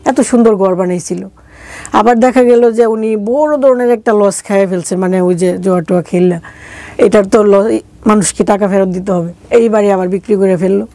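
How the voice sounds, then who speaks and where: A woman's voice: mid-range voice, moderate pace, warm tone, no room sound. A woman with a regional Bangladeshi accent telling her life story conversationally, in colloquial words, as an interview guest. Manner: colloquial, acting out remembered dialogue.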